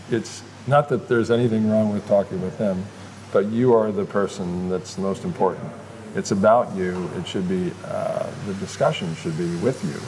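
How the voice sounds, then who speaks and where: A man's voice: sits low, darkish tone, rough recording. A man interviewing a guest in an encouraging, conversational way. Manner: encouraging, frequent backchannel. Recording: audible air conditioning hum.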